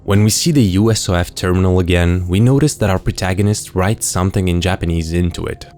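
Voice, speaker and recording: A man narrating an analytical video essay in a scripted but conversational way, explaining and speculating with wry asides. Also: low-pitched. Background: soft music bed.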